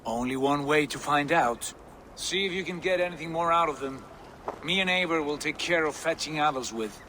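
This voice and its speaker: An actor playing a coolly menacing Viking lord in a video game, speaking in a theatrical, deliberate, sardonic way. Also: male voice, a little high, sharp tone, slight background noise, low-quality recording. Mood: brisk, resolute. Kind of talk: dramatic video game acting.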